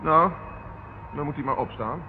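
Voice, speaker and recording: An actor in a radio drama playing a calm, methodical police inspector with a businesslike, questioning manner. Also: male voice, fairly high pitch, moderate pace, close to an everyday voice. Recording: tinny, noisy old radio recording.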